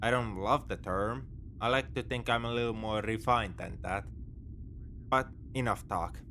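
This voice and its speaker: A man's voice: fairly low pitch, moderate pace, bright, forceful tone, slight background noise. A man playing a wry, morally grey space pirate in a sci-fi ASMR roleplay, with a conversational, nonchalant, dryly teasing delivery. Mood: teasing, reassuring. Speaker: audio roleplay performer.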